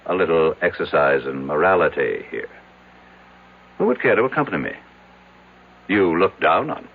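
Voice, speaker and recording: A man's voice: deep voice, very animated, normal speed, narrow, tinny audio, full character voice. A male actor with an American accent playing an arrogant, self-made, skeptical businessman in brash old-time radio drama style, on a noisy old recording. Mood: defiant. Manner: brash, self-assured, declamatory.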